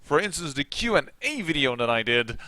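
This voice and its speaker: A man's voice: fairly high pitch, sing-song, a little fast, bright, forceful tone, dry recording. A Dutch man with a Dutch accent, hosting a let's play and rambling in chatty, self-mocking commentary. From Netherlands. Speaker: gaming channel host.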